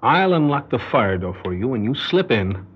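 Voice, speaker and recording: Shrewd heist boss in an old radio play, husky voice, calculating n quietly menacing, kinda muffled audio. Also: male voice, mid-range voice, big pitch swings, normal speed. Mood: businesslike, instructing. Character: shrewd, controlling male criminal mastermind planning a heist. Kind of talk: classic radio drama acting. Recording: dull lo-fi radio drama recording.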